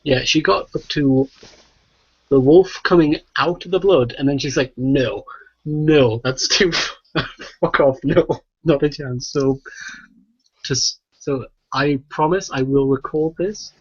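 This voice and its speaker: A man hosts a casual podcast in a slightly rough, husky voice. He rambles through anecdotes with plenty of swearing and checks in with "right?". The recording is muffled and lo-fi. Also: on the high side, takes it slowly. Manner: sweary, animated storytelling with frequent "right?" tags. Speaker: podcast host. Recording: muffled, echoey voice-chat recording.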